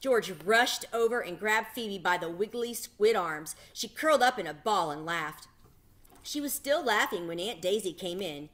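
A middle-aged woman reading a children's novel aloud with expressive, dramatic delivery. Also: medium pitch, moderate pace. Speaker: read-aloud storyteller. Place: small home room with bookshelves.

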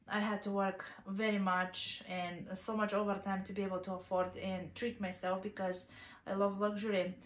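A woman's voice: mid-range voice, a bit bright, reverberant. A woman in her thirties from Bulgaria, with a Bulgarian accent, chatting casually in a home fashion vlog, full of ums and uhs. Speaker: fashion vlogger. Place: small living room at home. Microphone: camera's built-in mic, a few feet away.

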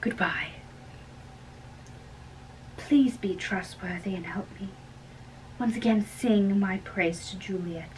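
A woman's voice: mid-range voice, slow pace, long pauses, a little mellow, a little hiss or hum, pitched below the performer's own voice, lightly acted, low-quality recording. A woman acting an earnest, lovesick young man in an amateur dramatic reading of a classic play. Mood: earnest, hushed. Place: small bedroom.

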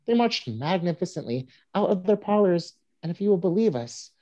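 A man playing a pompous, comically wounded newspaper humorist in a mock-grand stage monologue. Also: fairly high pitch. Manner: mock-grand, animated delivery. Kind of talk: theatrical reading of a humorous monologue. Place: indoors at home on a video call. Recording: dull video-call audio.

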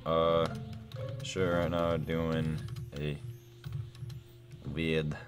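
A young man giving excited, casual gameplay commentary, rambling and thinking aloud in slangy exclamations. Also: rumbling low, drawn-out words, over music. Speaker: gaming video host.

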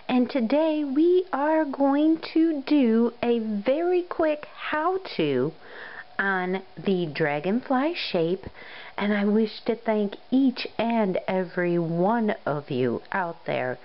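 A woman hosting a crochet how-to, her voice still a bit scratchy and hoarse after a cold, explaining things in a friendly, step-by-step way. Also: fairly high pitch, animated, slow pace, dull audio. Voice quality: slightly scratchy, recovering voice. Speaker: crochet tutorial host. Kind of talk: casual how-to narration.

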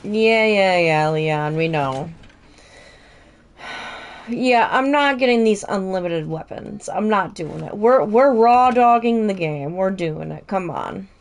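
A woman's voice: medium pitch, animated, slow. A young woman streaming a game from home, chatting casually, swearing and laughing as she plays. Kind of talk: casual live-stream chat. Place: home, indoors.

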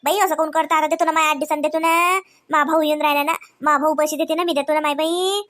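A woman's voice: high voice, fairly even intonation, fast, a bit bright. A woman voicing an anxious village debtor in a comedy cartoon, pleading plaintively and repetitively with a Vidarbha Marathi accent. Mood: pleading, worried. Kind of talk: comedy cartoon dialogue in rural dialect.

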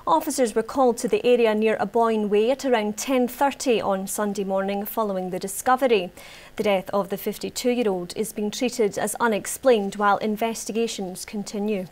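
A woman's voice: medium pitch, steady tone, a little mellow. A Scottish woman in her thirties reading scripted news formally as a studio presenter. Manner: formal, measured delivery. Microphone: lapel mic.

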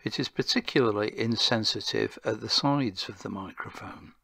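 A man presenting a scripted microphone demonstration in a measured, precise manner, speaking close to a vintage microphone. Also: lowish voice, gravelly, bright, forceful tone, no room sound. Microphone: vintage noise-cancelling microphone, two inches away.

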